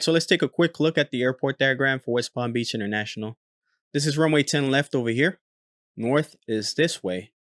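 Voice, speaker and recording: A man's voice: medium pitch, no echo, clean studio sound. A man, a former military air traffic controller, hosting casual commentary on a recorded radio exchange, talking easily with many fillers. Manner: relaxed, frequent filler "uh".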